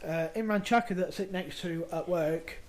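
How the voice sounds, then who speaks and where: This is a podcaster telling workplace stories with animated energy. The speaker swears freely and talks casually. The pitch sits in the middle range. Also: moderate pace. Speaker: podcast co-host. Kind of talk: casual chat, anecdote telling.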